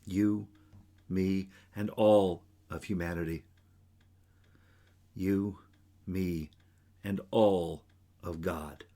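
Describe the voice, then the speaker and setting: Guy pastor giving a reflection, solemn and deliberate, kinda low voice, lots of pauses, slow. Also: steady tone, warm tone. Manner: solemn, deliberate, measured phrases with long pauses. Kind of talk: sermon, reflection.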